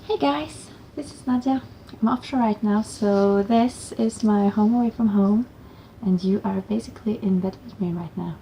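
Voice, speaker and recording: A woman's voice: medium pitch, moderate pace, few pauses, mellow, a little hiss or hum, rough recording. A woman from Norway with a Norwegian accent, chatting in a teasing, playful way. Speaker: guest maker. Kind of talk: casual chat.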